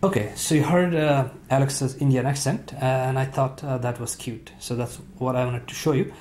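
Guy doing a tech how-to, relaxed, plenty of uhs, mid pitch, bit of faint room noise. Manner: relaxed, halting with frequent "uh" fillers. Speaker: tech video host. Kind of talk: casual spoken tutorial.

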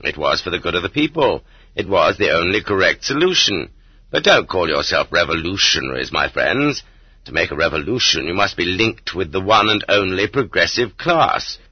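Man putting on a smug, pedantic historian, low-voiced. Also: very animated, moderate pace, husky, dry recording, lo-fi sound, full character voice. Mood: smugly dismissive. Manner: condescending, lecturing. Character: smug, pedantic educated male Marxist historian, dogmatically dismissive.

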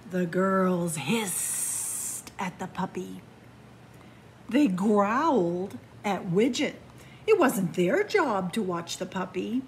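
A woman reading a picture book aloud to children in a library, with slow, expressive storytelling, hushed whispers and excited rises. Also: medium pitch, a little hiss or hum. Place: library in front of bookshelves. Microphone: camera facing the book.

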